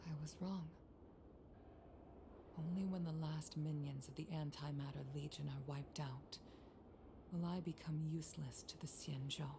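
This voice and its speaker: Low-voiced solemn woman commander. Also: fairly even intonation, vocal fry, background noise. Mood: grave resolve. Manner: formal, measured, grave delivery. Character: solemn female military commander, proud, grieving, resolute. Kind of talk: scripted video game acting.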